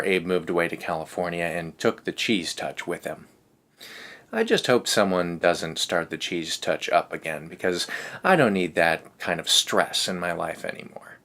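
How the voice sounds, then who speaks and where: A man with a low voice hosts a read-aloud for kids. He reads in a casual, easygoing way and drops in chatty asides. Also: a bit bright. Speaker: host of a children's read-aloud show.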